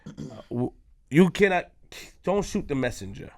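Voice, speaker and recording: A man co-hosting a podcast, talking in loud, animated, streetwise banter full of restarts. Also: a little high, normal speed, no echo, studio quality. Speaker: podcast co-host. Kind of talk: casual chat.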